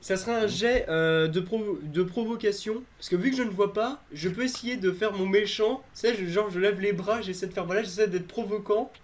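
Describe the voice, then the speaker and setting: Joking, excitable male tabletop player. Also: high-pitched, brisk, few pauses. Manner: excitable, laughs often. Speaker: tabletop role-play player. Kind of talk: casual, joking game chat.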